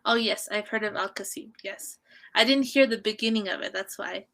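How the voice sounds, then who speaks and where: A woman from Canada tutoring English on a video call, friendly and encouraging, gently correcting her student. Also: mid-range voice, normal speed. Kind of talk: online conversation lesson.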